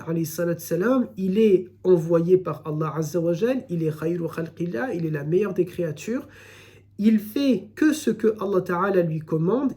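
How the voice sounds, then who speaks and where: A man giving a recorded religious lesson, explaining in a measured way and often repeating his phrases. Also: high voice, dark tone. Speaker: Islamic teacher.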